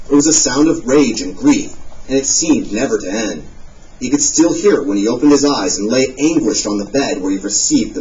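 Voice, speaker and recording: A man narrating a novel aloud, an expressive audiobook reading. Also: slight background noise. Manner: expressive reading with lively pitch. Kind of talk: scripted narration. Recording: dull, distant audio played in a classroom.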